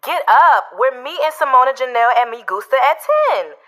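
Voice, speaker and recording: A woman's voice: sits high, lively intonation, moderate pace, fairly bright tone. A woman playing a bossy, exuberant young best friend in an audio drama, pushy and teasing.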